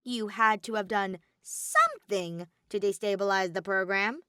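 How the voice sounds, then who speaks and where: A woman's voice: medium pitch, a little slow, pushed and bright, clean tone, dry recording, studio quality. A woman voicing a mischievous, grumpy robotic bear villain with a flippant, cartoonish delivery, in a fan story reading. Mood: grumpy, exasperated.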